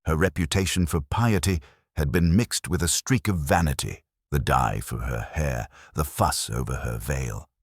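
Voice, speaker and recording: A man reading a scripted mystery story as narrator, in a measured, deliberate literary manner. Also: a bass voice, slightly rough, no room sound, clean studio sound.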